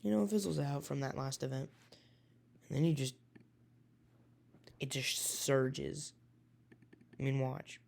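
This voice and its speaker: A man with a raspy voice, a weather enthusiast casually talking through a forecast with many hesitations and self-corrections. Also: mid-range voice, unhurried, pauses often, darkish tone.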